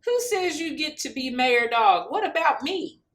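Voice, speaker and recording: An eager storybook dog voiced by a woman boasts with a bright voice. The pitch jumps about, full of self-importance. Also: big pitch swings, unhurried, full character voice. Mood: indignant, boastful.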